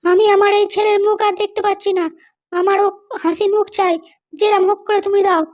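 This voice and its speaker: A woman's voice: very high voice, fairly even intonation, fairly quick, darkish tone. A woman voicing a doting but bossy mother in a cartoon, fretful, insistent and nagging. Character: doting, anxious, bossy mother of a grown son. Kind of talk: cartoon dialogue.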